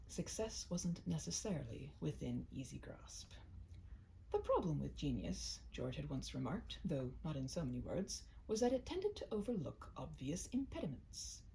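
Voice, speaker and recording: A woman's voice: on the low side, vocal fry, mellow, slight background noise, rough recording. A woman narrating a humorous short story as a scripted read-aloud, in a theatrical, mock-grandiose manner.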